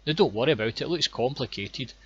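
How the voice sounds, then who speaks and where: A Scottish man guiding viewers through his online course with plain, unhurried explanations and a few ums and repeated words. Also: mid-range voice, animated, a bit bright, dull audio. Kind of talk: screen-recorded course walkthrough.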